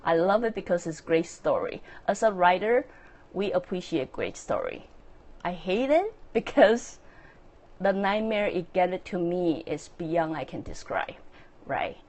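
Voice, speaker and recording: A woman with a Chinese accent, speaking English as a second language, hosting a casual, earnest solo talk with many fillers and soft laughs. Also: on the low side, big pitch swings, a little slow. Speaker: podcast host and author.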